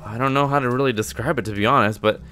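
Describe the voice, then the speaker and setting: A man's voice: mid-range voice, very fast, bright, forceful tone, clean tone, faint music behind, natural voice. A man playing an eager, naive young dragon slayer student in a game roleplay, talking in a casual, rambling, stammering way. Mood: casual, unsure. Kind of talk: improvised Minecraft roleplay acting.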